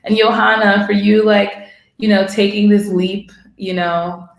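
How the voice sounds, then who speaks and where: A woman playwright on a panel, speaking in a grateful, deliberate way in conversation. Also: medium pitch, little pitch movement, vocal fry. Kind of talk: giving thanks in a panel conversation. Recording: echoey room sound.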